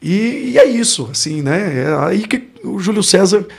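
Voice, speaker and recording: A man's voice: high voice, lively intonation, unhurried, vocal fry, husky. A Brazilian man in his forties, a pastor, telling his life story in a podcast interview in a reflective way, often searching for words. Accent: Brazilian Portuguese. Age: 40s. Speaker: pastor and guest.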